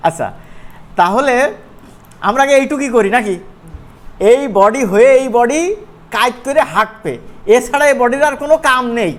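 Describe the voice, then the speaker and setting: An animation course instructor with a regional Bangladeshi Bengali accent, teaching informally and often checking in with questions. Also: medium pitch. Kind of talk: informal live software tutorial.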